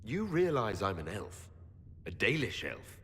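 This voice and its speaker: A skeptical male elf adventurer in a fantasy video game. He questions warily, his mid-pitched voice moving expressively. It sits in a long hall reverb over music. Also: huge pitch swings, a little slow, fairly bright tone, full character voice. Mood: incredulous. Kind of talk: dramatic fantasy game acting.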